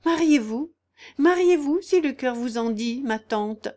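A woman voicing a humble young peasant woman in a story, speaking plainly with gentle firmness. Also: sits high, expressive, unhurried, pitched above the performer's own voice. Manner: plain, firm, gently resolute.

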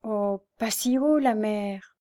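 A woman's voice: a little high, fairly even intonation, brisk, slightly rough. A woman voicing a timid young knife-grinder who pleads with his mother. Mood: pleading. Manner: pleading, trembling.

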